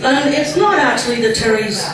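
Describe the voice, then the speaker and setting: Loud woman doing a roast, dry sarcastic jokes, distant and boomy, big crowd laughing. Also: expressive, moderate pace, over music. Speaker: sister-in-law giving a roast speech. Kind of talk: roast speech. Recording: echoey hall from far off with crowd. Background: laughing audience.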